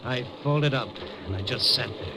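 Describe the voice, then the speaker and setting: An actor playing a hardboiled newspaper reporter who narrates in dry, laconic wisecracks in an old radio drama. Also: male voice, medium pitch, normal speed, heavy background noise, close to an everyday voice. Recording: old radio broadcast, music bed.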